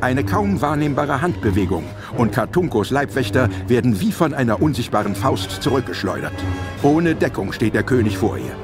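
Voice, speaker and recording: A man narrates an adventure audio drama. His voice is mid-range and the delivery is dramatic and scripted, with music clearly audible underneath. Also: mid-range voice, very animated, normal speed.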